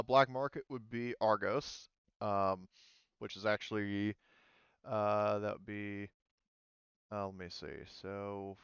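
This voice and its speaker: Deep, clear-voiced man, hesitant game master. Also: no echo, lo-fi sound. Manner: hesitant, thinking aloud. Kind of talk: casual tabletop game refereeing.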